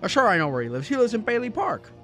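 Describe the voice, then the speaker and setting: A man acting a distraught radio-drama everyman with a high, bright voice. He stammers and pleads with desperate urgency, music sounding behind him. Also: big pitch swings, fast, full character voice. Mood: agitated disbelief.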